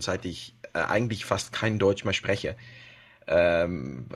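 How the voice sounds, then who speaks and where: A man's voice: on the low side, slightly rough. A man from the Netherlands with a Dutch accent, speaking German as a second language in a casual podcast interview, hesitant with many fillers and restarts. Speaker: podcast guest, web radio host.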